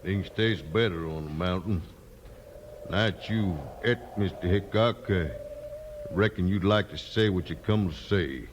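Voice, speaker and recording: A man's voice: sits low, lo-fi sound. A man playing a proud, laconic mountain-man leader with a rural American hillbilly drawl in a 1950s radio Western. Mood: dry, laconic. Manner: terse, slow-talking backwoods drawl. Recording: noisy 1950s radio recording.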